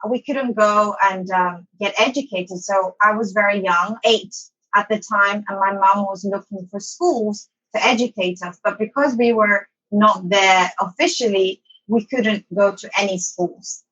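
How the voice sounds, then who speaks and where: A woman's voice: medium pitch, measured pace, a bit bright, poor audio. A woman from Somalia, an English teacher, telling her life story as an interview guest, hesitant with many ums and restarts.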